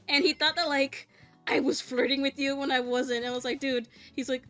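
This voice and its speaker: Bright-voiced young woman, blunt and profane. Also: high voice, fairly even intonation, moderate pace, soft background music. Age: 20s. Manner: frank and profane, venting with nervous laughs. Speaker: content creator. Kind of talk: casual candid talk while gaming.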